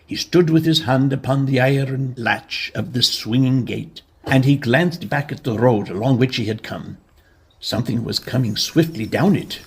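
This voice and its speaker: A man narrating a gothic horror radio drama in a solemn, deliberate, suspenseful storytelling manner. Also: medium pitch, gravelly, slight background noise, natural voice. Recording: prominent music behind the narration.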